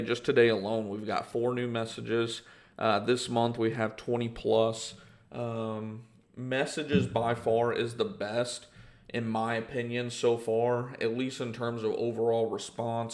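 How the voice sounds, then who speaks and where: A young man, talking loosely on a livestream. His voice has a gravelly fry at the bottom, and he rambles through viewer comments with plenty of "um" and "you know". His pitch sits in an ordinary middle range. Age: 20s. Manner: rambling, reads out comments, many fillers and restarts. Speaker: host answering viewer questions. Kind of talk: casual livestream Q&A. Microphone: livestream microphone.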